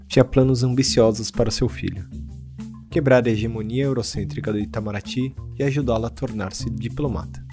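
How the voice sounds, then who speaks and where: Brazilian podcast host over guitar music. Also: male voice, medium pitch. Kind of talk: scripted narration introducing an artist.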